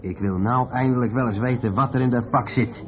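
An actor playing a curious, decisive traveller with a matter-of-fact, take-charge manner in a Dutch radio play. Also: male voice, mid-range voice, steady tone, fairly quick, close to an everyday voice. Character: curious, decisive male traveller named Dirk, eager to investigate. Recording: noisy, tinny old radio recording.

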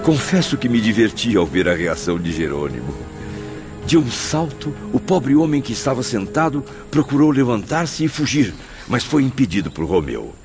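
A man's voice: mid-range voice, sing-song, normal speed, close to an everyday voice. An actor in a radio drama playing a spirit who narrates his memories in a florid, theatrical, savouring way. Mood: amused. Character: male spirit narrator, wonderstruck, reminiscing. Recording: music behind the voice.

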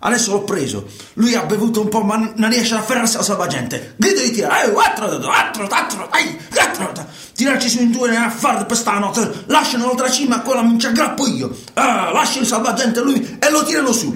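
An actor playing a troubled working fisherman in a colloquial, halting radio monologue, repeating himself. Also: very high voice, animated, a bit bright, echoey room. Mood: frantic, urgent. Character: troubled working fisherman recounting life at sea. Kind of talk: theatrical radio monologue in conversational storytelling.

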